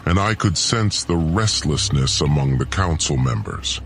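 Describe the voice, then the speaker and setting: Deep-voiced man narrating a solemn drama. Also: animated, a bit bright. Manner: solemn, measured, formal. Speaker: narrator and audio drama creator. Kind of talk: scripted first-person dramatic narration.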